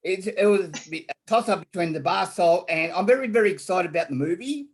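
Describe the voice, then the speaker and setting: A man's voice: high-pitched, a little fast. A man from Australia, a guest in a casual online interview, talking in a rambling way with many fillers and repeated words.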